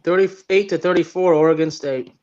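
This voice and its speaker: Male sports co-host, about thirty, higher voice, casual blunt chat, somewhat lo-fi audio. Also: fairly even intonation, normal speed, a little mellow. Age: late 20s to early 30s. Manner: blunt, repeats himself for emphasis.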